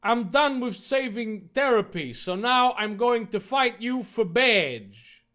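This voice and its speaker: A man voicing a brash, cocky, excitable young monster trainer in an over-dramatic story reading. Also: sits high, drawn-out words, pitched above the performer's own voice, exaggerated voice.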